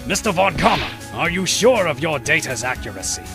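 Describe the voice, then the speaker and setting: A man's voice: on the high side, very animated, fairly quick, creaky, fairly bright tone, soft background music, exaggerated voice. A man playing a stern, pompous old courtroom judge with a formal, authoritative delivery in a fan audio drama. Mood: stern, deliberating.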